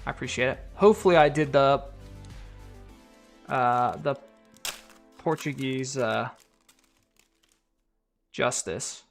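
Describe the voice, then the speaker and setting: A man's voice: medium pitch, takes it slowly, vocal fry, long pauses, soft background music. A man chatting casually on a live stream, reading viewer donations and comments aloud. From United States. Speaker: card collector streamer.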